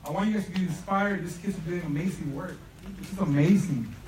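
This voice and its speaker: A man's voice: sits high, a little fast, darkish tone. A man from El Salvador giving an emphatic motivational speech as a program founder, often checking that listeners agree. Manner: impassioned, emphatic, seeks agreement with "right?". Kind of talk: motivational public speech to parents and students. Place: large room at a live event. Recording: roomy, distant mic, faint noise.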